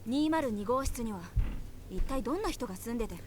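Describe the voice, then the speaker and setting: A woman's voice: a little high, lively intonation, rapid-fire, background noise, natural voice. An actress playing an inquisitive, insistent young woman in a visual novel game. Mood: questioning. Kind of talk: Japanese visual novel voice acting.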